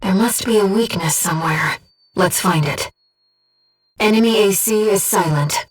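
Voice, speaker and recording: An actress playing a gentle, devoted female voice in a pilot's head, a composed and precise mission guide in a video game. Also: mid-range voice, unhurried, breathy edge, recorded from a distance, soft background music. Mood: composed, focused. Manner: composed, precise, earnest delivery. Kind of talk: video game voice acting, tactical briefing.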